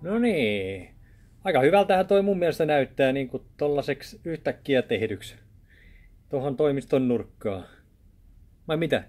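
A man in his thirties talks through a DIY set build in a relaxed, rambling way, restarting his sentences often. His voice sits at an ordinary middle pitch and is picked up close by a camera in a small office studio. Clear background music plays under the whole recording. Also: pauses often. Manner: chatty, rambling, with many restarts. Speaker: video maker showing a set build. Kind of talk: casual DIY vlog talk. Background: music playing under the talk.

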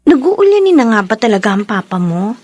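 A woman with a Filipino accent playing a concerned, inquisitive friend in a radio drama, gentle and questioning. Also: fairly high pitch, big pitch swings, brisk, no room sound. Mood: concerned, curious.

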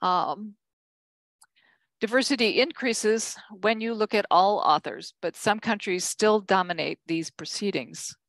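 An older woman giving a conference keynote from slides, measured, with frequent ums and self-corrections. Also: mid-range voice, fairly bright tone, no room sound. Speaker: keynote speaker.

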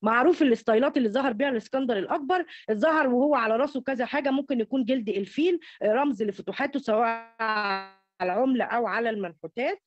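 A dull, lo-fi online-call recording of an Egyptian woman presenting academic slides. Her voice sits fairly high and she talks quickly, often stumbling over and repeating small words as she explains. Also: no echo, soft background music. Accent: Egyptian Arabic.

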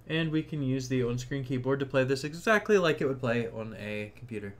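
A man's voice: mid-range voice, fairly quick. A man narrating a casual tech tutorial, explaining things informally step by step.

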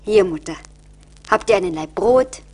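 An actress playing a kind, generous young wife in a radio play, gentle and concerned. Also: female voice, medium pitch, animated, moderate pace, close to an everyday voice. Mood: caring, concerned. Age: young adult.

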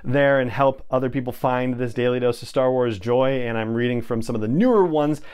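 A middle-aged man hosts a podcast with a smooth, clear voice at a medium pitch. He talks straight to his listeners in an effusive, enthusiastic way, close on a lapel mic in a home studio. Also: fairly quick, darkish tone. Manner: effusive, upbeat. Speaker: podcast host. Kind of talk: enthusiastic podcast monologue to camera. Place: small home studio room.

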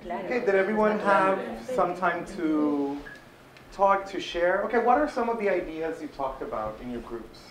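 A man presenting a workshop to a seminar room, brisk and checking in with the group. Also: high-pitched, lively intonation, roomy sound, crowd noise. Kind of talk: leading a classroom workshop.

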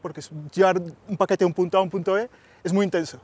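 A man in his thirties from Colombia, a delivery rider, who talks in a friendly way with many pauses and restarts, outdoors on a city street. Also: high voice, steady tone, a little mellow. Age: 30s. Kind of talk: casual interview.

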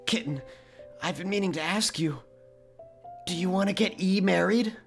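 A man's voice: sits high, normal speed, creaky, soft background music. A man playing an earnest, melodramatic, lovesick young man in a comedic roleplay skit. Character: lovesick, clingy young man pining over online girlfriend.

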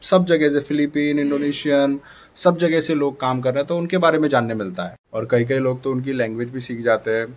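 A man's voice: mid-range voice, brisk. A man in his thirties talking casually to a phone camera indoors, gesturing a lot as he gives advice to his viewers. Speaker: vlogger. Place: dim room indoors by a dark door. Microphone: phone camera at arm's length.

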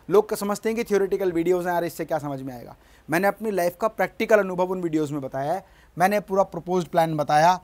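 A middle-aged man giving a motivational business lesson in a studio, speaking emphatically and often asking his audience to agree. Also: on the high side. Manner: emphatic, gestures at a screen, asks "है ना?". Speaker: business coach. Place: studio in front of a large screen.

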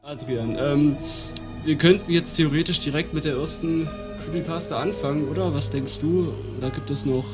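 A man's voice: fairly high pitch, moderate pace, loud music behind the voice. A man hosting a live stream in casual, jokey chat.

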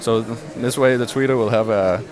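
A man in his thirties, a technical expert in an interview, explaining things in a halting, measured way with many pauses. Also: mid-range voice, a crowd in the background. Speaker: loudspeaker driver designer being interviewed. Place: glass-walled booth at a trade show hall. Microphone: headset.

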